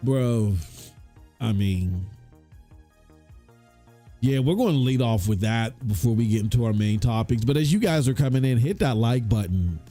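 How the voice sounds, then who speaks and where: A man's voice: mid-range voice, expressive, unhurried, long pauses, warm tone, faint music behind. A man hosting a casual gaming podcast, chatty and loose, his voice stuffy from a cold. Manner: loose and chatty, many restarts and fillers. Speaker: podcast host. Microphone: hot studio microphone.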